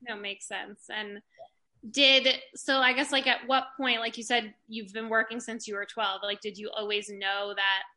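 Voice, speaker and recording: A woman's voice: mid-range voice, fairly even intonation, moderate pace, pushed and bright. A woman interviewing a guest, asking questions casually and encouraging her with short replies.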